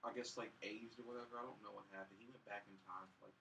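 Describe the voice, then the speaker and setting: A man's voice: on the low side, steady tone, fast talker, gravelly, pushed and bright, slight background noise. A man chatting casually as a film review co-host, rambling and dismissive as he recaps, recorded at a distance from the mic. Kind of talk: casual chat, recapping and mocking.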